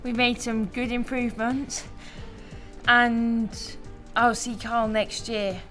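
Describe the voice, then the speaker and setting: A bubbly young woman with a slightly high voice chats and jokes at a relaxed pace. She is filmed up close by a handheld camera in an indoor room. Clear background music plays under her. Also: slow. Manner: bubbly, jokey, laughs often. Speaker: pro mountain biker. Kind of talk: casual behind-the-scenes chat. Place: indoor hotel room during bike fitting.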